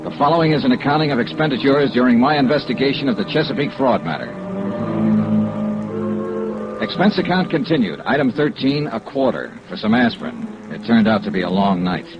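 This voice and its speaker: A man's voice: mid-range voice, animated, fast talker, hardly any pauses, music in the background, natural voice. A man playing a tough, wry insurance investigator in 1950s radio detective style, clipped and matter-of-fact. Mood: wry, businesslike. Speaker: lead actor and narrator. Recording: muffled vintage radio drama recording.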